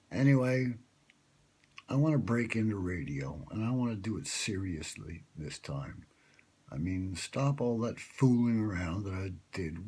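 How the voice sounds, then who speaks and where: A man with a low-pitched, husky voice rambles through a late-night monologue, full of ums and self-mocking asides. He sounds close to the phone he records on. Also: darkish tone. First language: English. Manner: meandering, wry, self-mocking, many fillers. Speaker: vlogger pitching a radio show. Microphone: smartphone held close.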